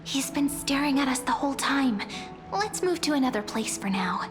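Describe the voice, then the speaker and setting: A woman's voice: sits high, lively intonation, normal speed. A woman voicing a polite, wary, thoughtful young companion in a video game, careful and earnest. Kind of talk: video game character acting. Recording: long reverb over game music.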